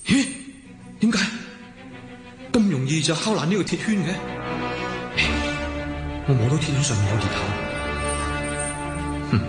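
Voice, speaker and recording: A male actor with a Hong Kong Cantonese accent playing a sly, boisterous old imprisoned martial arts master in a radio drama, with gravelly, drawn-out, taunting speech full of grunts and chuckles. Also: fairly high pitch, very animated. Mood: brooding, scheming. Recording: echoey, cavernous reverb, some music.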